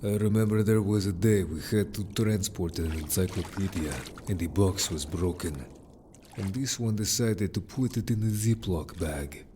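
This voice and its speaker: A man's voice: sits low, moderate pace, mellow, a little hiss or hum, lightly acted. A man playing a protective, earnest merman in an audio story, speaking bluntly and a little formally to the listener. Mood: wry, guarded. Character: gruff, protective male merfolk, loyal patrolling adventurer. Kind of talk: audio drama roleplay addressed to listener.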